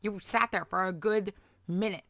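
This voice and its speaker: A woman's voice: medium pitch, moderate pace, crowd noise. A woman hosting a casual reaction livestream, talking back in a scornful, argumentative, mocking way and swearing freely.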